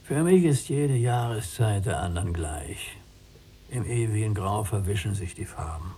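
An actor playing a weary, brooding old blind prisoner in a poetic radio drama, speaking in sparse, solemn phrases. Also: male voice, lowish voice, lively intonation, moderate pace, mellow, husky. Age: older.

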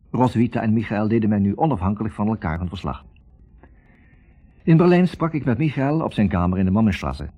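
Brief: brooding male writer narrating a radio play, low and rough-edged, measured delivery, dull vintage sound. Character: troubled male writer recounting his taped investigation of a couple. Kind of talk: 1960s radio drama narration. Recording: muffled 1960s radio recording.

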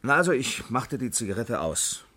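An actor playing a sheepish, defensive company employee under questioning in a 1960s German radio crime drama, explaining himself hesitantly. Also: male voice, mid-range voice, very animated, normal speed, pushed and bright, slightly rough, exaggerated voice.

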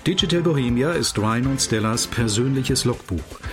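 A man reading a scripted introduction as the announcer of a radio play, in a measured, factual manner. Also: mid-range voice, animated, music in the background. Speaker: narrator and announcer.

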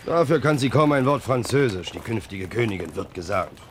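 An actor playing a gruff, practical soldier gossiping on campaign in a German radio play. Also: male voice, lowish voice, lively intonation, fairly quick, a little hiss or hum, natural voice. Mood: gossipy. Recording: 1970s radio drama, faint outdoor ambience.

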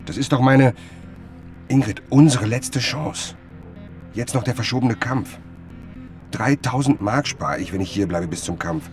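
A man's voice: medium pitch, measured pace, long pauses, close to an everyday voice. An actor playing an obsessed, self-deluding male writer in a literary radio drama, with music behind him. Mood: pressed, defensive. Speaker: lead actor and first-person narrator.

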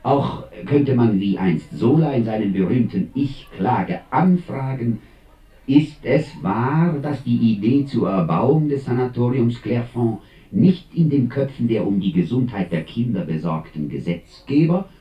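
An actor playing an accusing man who reads out an indictment in emphatic, deliberate rhetorical questions, in 1950s radio drama style. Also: medium pitch. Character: accusing male public voice reading a scandal indictment. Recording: dull, echoey 1950s recording, distant.

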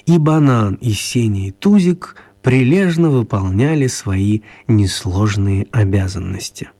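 A man narrating a children's radio play as a scripted storyteller, with measured, wry phrasing. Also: fairly low pitch, lively intonation, dark tone.

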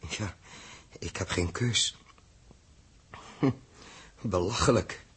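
An actor playing a troubled, uneasy man who mutters haltingly and repeats himself, in a 1960s radio drama. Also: fairly low pitch, a bit bright, husky, heavily acted. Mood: brooding, hesitant. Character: troubled, hesitant grown man Joost, uneasy space traveller. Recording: muffled-voiced old 1960s radio recording.

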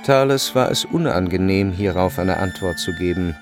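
A man narrates a literary radio drama in a low voice with plenty of gravel and fry. He reads from a script as the storyteller, with faint music behind him. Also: animated, normal speed.

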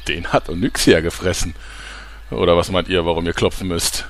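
A man's voice: deep voice, moderate pace, slight background noise. A man playing a shifty, touchy washed-up actor working as gatekeeper in a parody audio drama, blustering and defensive.

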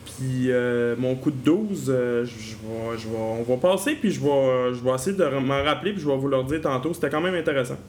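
A man's voice: mid-range voice, a little fast, hardly any pauses, darkish tone, a little hiss or hum. A man with a Québécois French accent co-hosting a casual podcast, rambling and laughing between lines. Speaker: podcast co-host.

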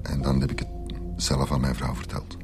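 Radio drama casting: troubled, subdued principal, extremely low voice, Flemish accent, hesitant delivery over music. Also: male voice, level tone. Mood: regretful. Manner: halting, subdued. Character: troubled male school principal confessing, resigned and hesitant. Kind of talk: naturalistic radio drama acting.

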